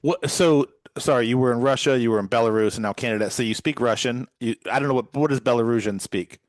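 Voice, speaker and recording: A man's voice: mid-range voice, fast talker, no echo. A man from California hosting a podcast, asking long, curious questions with many restarts. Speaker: podcast host. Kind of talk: podcast interview conversation.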